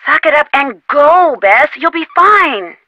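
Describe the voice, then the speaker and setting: A woman's voice: sits high, animated, measured pace, bright, forceful tone, no room sound, heavily acted. An actress playing a plucky young female amateur detective in an adventure game, talking in an encouraging, insistent, conversational way. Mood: urging. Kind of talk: scripted adventure game dialogue.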